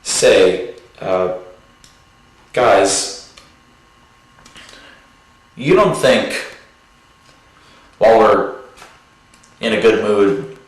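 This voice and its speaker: Echoey, slow-talking, awkward captive played by a man. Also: lowish voice. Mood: awkward, earnest. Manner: halting, awkward, conversational. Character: mild, awkwardly polite man held captive in a house. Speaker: comedy sketch performer. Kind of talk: deadpan sketch comedy dialogue. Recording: very echoey room, distant mic.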